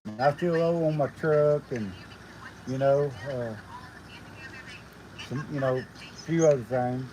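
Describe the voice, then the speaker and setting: A man's voice: mid-range voice, takes it slowly, pauses often, warm tone, a little hiss or hum, low-quality recording. A man talking casually and rambling during a homesteading live stream, outdoors while sharpening blades. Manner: folksy, rambling, lots of fillers. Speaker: homesteading vlogger.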